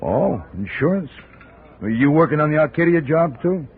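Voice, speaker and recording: A man's voice: on the high side, big pitch swings, normal speed. An actor playing a down-on-his-luck private eye in a 1950s radio drama, chatty and full of street slang. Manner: chatty street slang, keeps saying "see". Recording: tinny old radio broadcast, faint hiss.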